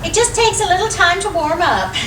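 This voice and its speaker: An actress playing a jumpy, chattering wife in an old-time radio comedy, fretful and excitable, recorded live on stage. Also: female voice, very high voice, a little fast, crowd noise. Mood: curious, fretful. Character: jumpy, chattering wife, timid yet curious. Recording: echoey live stage, distant, noisy.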